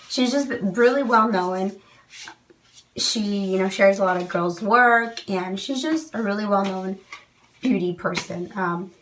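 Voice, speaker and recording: A woman's voice: mid-range voice, fairly bright tone, recorded from a distance, slight background noise, rough recording. Young woman beauty vlogger with a cold and a weak, fading voice; chatty and frank, a little away from the mic. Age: young adult. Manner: chatty, many "um"s, frank opinions. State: losing her voice from a cold. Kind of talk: casual product review to camera. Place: small indoor room.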